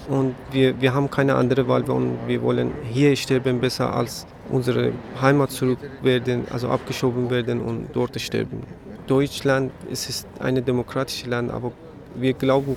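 A man speaking gravely and hesitantly at a protest, often filling pauses with "also". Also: mid-range voice, background noise. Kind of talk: street interview. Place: outdoors on a city street.

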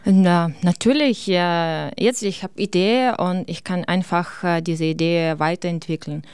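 A woman from Ukraine, a photographer in her forties, answering interview questions in halting German with many fillers. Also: lowish voice, steady tone, darkish tone, clean tone, no room sound, studio quality. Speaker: guest photographer. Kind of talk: radio interview.

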